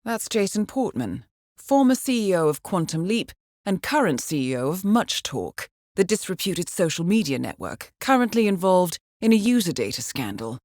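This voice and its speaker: A woman's voice: on the low side, very animated, moderate pace, a little mellow, dry recording, studio quality. An actress playing a cool-headed female intelligence handler in a video game, giving scripted mission briefings in a composed, formal, dryly understated way. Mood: poised briefing.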